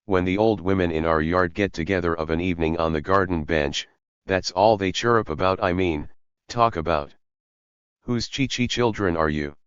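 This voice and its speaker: A man narrating an audiobook in a measured, even storytelling manner. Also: low-pitched, smooth, no echo, muffled recording.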